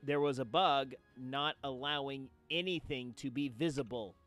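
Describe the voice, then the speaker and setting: A man streaming a game and chatting casually, telling stories with theatrical, drawn-out emphasis. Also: medium pitch, fairly bright tone, no echo, faint music behind. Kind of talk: casual chat, storytelling.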